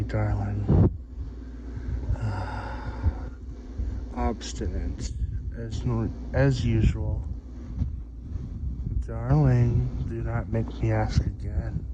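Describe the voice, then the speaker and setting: A man performs a seductive male vampire, thirsty and possessive, in an intimate ASMR roleplay. He speaks softly and extremely slowly in a lowish voice, drawing words out between sighs and murmurs. Noise is clearly audible behind him. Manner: soft-spoken, drawn-out, sighing and murmuring. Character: hungry, seductive male vampire, doting yet domineering master. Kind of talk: soft-spoken ASMR roleplay. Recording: dull, muffled audio with clear background noise.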